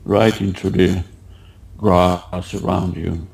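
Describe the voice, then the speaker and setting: A man leading a guided meditation, giving halting, unhurried instructions and asking gentle questions. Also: sits low, flat delivery, vocal fry, slightly rough.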